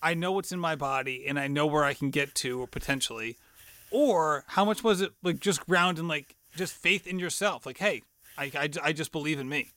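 A male podcast host asks enthusiastic, meandering questions packed with "like"s. His voice is fairly high and bright. It is recorded close and dry. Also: moderate pace, dry recording. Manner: enthusiastic, rambling questions with many "like"s. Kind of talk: casual podcast interview.

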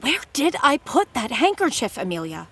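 An actress playing a young noble wife in a radio play, earnest and pleading. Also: female voice, high-pitched, big pitch swings, moderate pace, fairly bright tone, slightly rough, heavily acted. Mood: fond.

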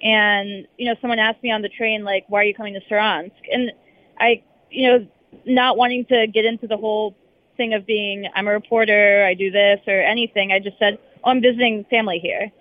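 A woman's voice: medium pitch, fairly even intonation, brisk, dry recording. A young woman, a journalist, answering interview questions in a hesitant, rambling way with lots of hedging. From United States. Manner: hesitant and rambling, full of hedges. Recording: tinny phone-line sound.

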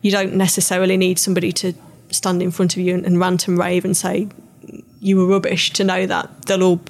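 An English woman co-hosting a football podcast, calm and measured, with frequent ums and restarts. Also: fairly low pitch. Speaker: podcast co-host. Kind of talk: casual chat.

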